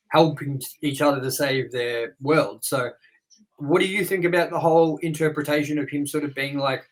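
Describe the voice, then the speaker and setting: A man's voice: mid-range voice, poor audio. A man in his thirties co-hosting a movie review show, chatting casually and telling rambling stories with casual swearing.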